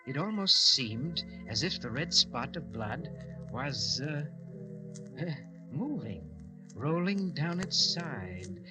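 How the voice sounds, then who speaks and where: An actor playing a brooding, menacing man in old-time radio horror, theatrical and deliberate, with dramatic hesitations. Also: medium pitch, poor audio. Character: Harvey, brooding, menacing man fascinated by murder. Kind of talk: old-time radio horror acting, first-person narration. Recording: old radio recording with music.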